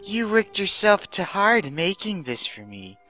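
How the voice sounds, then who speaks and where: A woman's voice: medium pitch, big pitch swings, a little slow, bright, forceful tone, no room sound, soft background music. A woman playing an elegant, doting and easily embarrassed ghost lady, gushing and melodramatic, in a dramatic story reading. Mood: grateful, doting.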